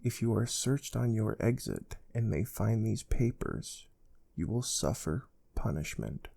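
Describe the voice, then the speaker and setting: A man playing a calm, soft-spoken space research team interviewer in an ASMR role play, explaining things gently and without hurry. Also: fairly low pitch, measured pace, creaky, heavily acted. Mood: calm, businesslike. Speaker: ASMR performer.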